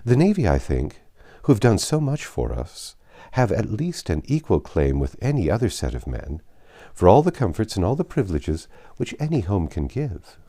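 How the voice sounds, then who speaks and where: A composed young gentlewoman from a classic storybook, read by a male narrator. She speaks sincerely and with quiet firmness. The delivery is measured and mellow. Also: on the low side, wildly swooping pitch, exaggerated voice. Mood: earnest, composed. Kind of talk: audiobook character reading.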